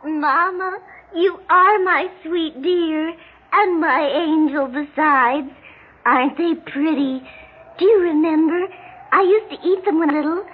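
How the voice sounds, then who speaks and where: An actress playing a fretful, affectionate, childlike daughter in a 1960s radio drama, speaking in a prim, melodramatic way. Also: female voice, extremely high pitch, slow. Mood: girlish, pleading. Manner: melodramatic, prim, old-fashioned turns of phrase. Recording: muffled, noisy 1960s radio recording.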